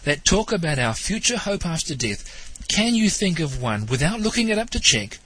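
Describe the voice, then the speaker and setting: A man's voice: fairly high pitch, sing-song, normal speed, a bit bright, husky, no echo, low-quality recording. A man hosting a talk show, sounding nasal and stuffed up from hay fever, with a wry, questioning manner as he comments on theology. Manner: wry, questioning, lightly teasing. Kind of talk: spoken theology commentary monologue.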